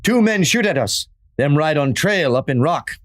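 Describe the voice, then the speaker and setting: A man's voice: a little high, expressive, normal speed, lightly acted. A man playing a stoic, loyal tracker sidekick in a western radio play, speaking in clipped pidgin. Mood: alert. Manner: clipped pidgin speech, dropping small words.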